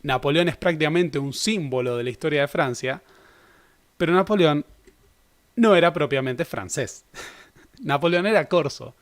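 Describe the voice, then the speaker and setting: A man's voice: fairly high pitch, moderate pace, pauses often. Man giving a casual history lecture. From Argentina. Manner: conversational, self-correcting with joking asides. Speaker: history teacher.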